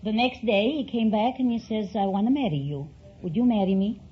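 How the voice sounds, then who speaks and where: A woman's voice: mid-range voice, fairly quick. An older woman from Greece with a Greek accent, telling stories as a contestant on a vintage radio quiz show. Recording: dull, faintly noisy vintage radio recording.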